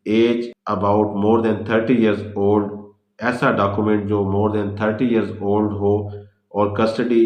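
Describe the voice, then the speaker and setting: A man with a Pakistani accent giving an explanatory law lecture, repeating points and mixing in English legal terms. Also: low-pitched. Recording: echoey room sound.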